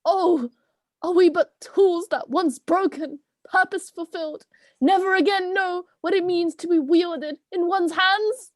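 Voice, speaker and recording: A woman's voice: extremely high pitch, a little slow, no echo, studio quality. A woman playing a pompous, theatrical talking goat, indignant and wordy, in an amateur myth play reading. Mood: melodramatic despair.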